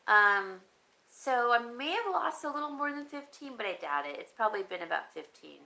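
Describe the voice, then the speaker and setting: Chatty raspy woman with a cough. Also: a little high, very animated, moderate pace, pushed and bright. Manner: rambling, chatty, reads and answers viewer comments. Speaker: home decor vlogger. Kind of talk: casual live-stream chat.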